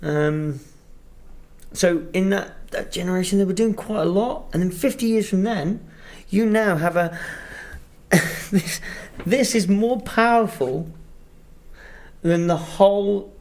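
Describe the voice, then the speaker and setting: Ranting English man, slightly high voice. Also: takes it slowly, long pauses. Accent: English, drifting Australian. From England. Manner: sweary, ranting, animated. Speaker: podcast co-host. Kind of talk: casual chat. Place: Sydney.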